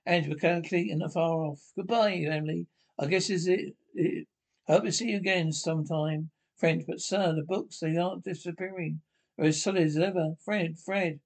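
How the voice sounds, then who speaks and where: A man with a distinctly high voice reads a radio play script aloud. He moves through it haltingly, tripping on words and doubling back to repeat them. Speaker names get read out along with the dialogue. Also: fairly even intonation, gravelly. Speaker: amateur script reader.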